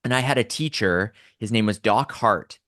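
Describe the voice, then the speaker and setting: A man's voice: fairly low pitch, moderate pace, fairly bright tone, no echo, clean studio sound. A man from Southern Alberta with a Canadian accent, telling personal stories conversationally and articulately as a podcast guest.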